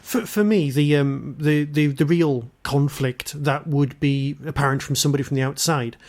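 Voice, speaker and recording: A man's voice: on the high side, normal speed, a little mellow. A man interviewing casually, with tentative, rambling phrasing. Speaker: podcast interviewer.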